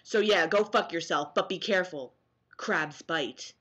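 A young American woman reacting casually to comments she reads aloud, sarcastic and animated, at home on a webcam mic. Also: fairly low pitch, a little slow, husky. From New Jersey, US. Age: young adult. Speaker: vlogger. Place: small room at home, indoors.

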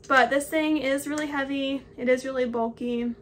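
A woman's voice: high-pitched, fairly even intonation, moderate pace, a little hiss or hum. A woman in her twenties casually walking through her hiking gear to the camera in a small home office, explaining each item as she holds it up. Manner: chatty, explains while holding up each item. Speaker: hiking vlogger.

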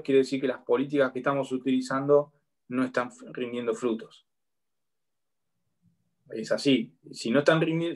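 A man in his thirties with an Argentine accent, lecturing law students online and often checking in with a quick question. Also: medium pitch. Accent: Argentine Spanish. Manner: explanatory, checks in with a frequent "¿sí?". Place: home room with bookshelf. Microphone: laptop webcam on a video call.

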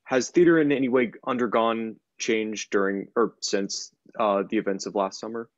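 A man in his twenties asking careful, formally worded interview questions in a halting way over a video call. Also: lowish voice, steady tone, no room sound, dull audio. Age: 20s. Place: home room indoors. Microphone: computer mic on video call.

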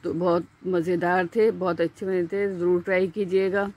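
A woman with a Pakistani Urdu accent, hosting a home cooking channel and explaining each step in a chatty voice-over with small pauses. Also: on the low side, level tone, a little mellow, lo-fi sound. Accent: Urdu-speaking Pakistani.

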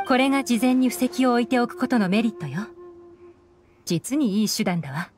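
Game investigator, young woman played by an actress: highish, cool, calculating, measured, over background music. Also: expressive, close to an everyday voice. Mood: shrewd, calm. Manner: composed, measured, explanatory. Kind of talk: Japanese game voice acting.

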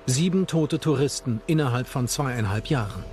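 A man's voice: medium pitch, animated, normal speed, darkish tone, slight background noise. A man reading scripted narration for a true-crime documentary. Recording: narration over background music.

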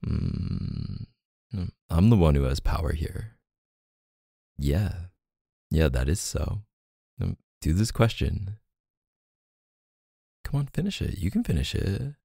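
Man acting as deep, gravelly, teasing boyfriend. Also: huge pitch swings, no echo, clean studio sound, full character voice. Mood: teasing, bossy. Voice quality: low, gravelly, soft. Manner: teasing, murmuring, hesitant pauses and hums. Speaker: audio roleplay performer. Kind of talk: intimate ASMR boyfriend roleplay.

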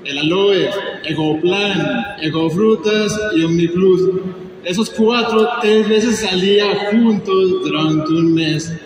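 A man from Aguascalientes giving a halting testimonial to an audience. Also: sits high. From Aguascalientes, Mexico. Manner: hesitant, halting. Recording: echoey hall from far off with crowd.

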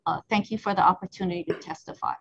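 A woman's voice: fairly low pitch, vocal fry. Woman testifying over a dull video call. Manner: measured, summarizing a prepared statement. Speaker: state agency head testifying. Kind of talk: formal testimony. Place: office. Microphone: earbud headset on a video call. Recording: slightly dull video-call audio with faint background noise.

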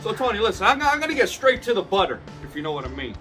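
A man's voice: sits high, big pitch swings, sharp tone, faint music behind, heavily acted. A man playing a chummy, shady, folksy schemer in an improvised soap comedy, repeating himself conspiratorially. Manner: deliberate, repetitive, conspiratorial buddy talk.

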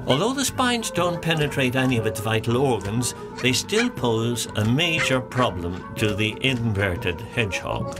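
An actor playing a pompous, excitable male nature documentary presenter in a mock-serious animated short, theatrical and self-important. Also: mid-range voice, measured pace, hardly any pauses. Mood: solemn, intrigued. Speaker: narrator. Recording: music bed behind the voice.

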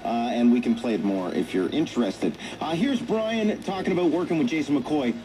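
Man, music radio host, fairly high voice, casual patter, noisy tuner sound. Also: big pitch swings, brisk. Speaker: radio presenter. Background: noise.

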